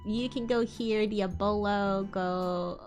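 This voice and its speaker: A woman's voice: medium pitch, steady tone, slow pace, darkish tone, soft background music. A woman hosting a livestream dramatic reading of fan fiction, giggly and sweary, with asides. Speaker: livestream host.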